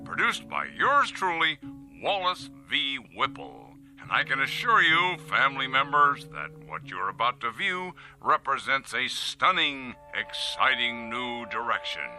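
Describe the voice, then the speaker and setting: Pompous, bright-voiced boastful company boss. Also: male voice, exaggerated voice, rough recording. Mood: pompous, proud. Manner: grandiose, showy sales-pitch delivery with chuckles. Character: pompous boastful male company boss addressing shareholders on video. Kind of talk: radio drama acting as corporate presentation.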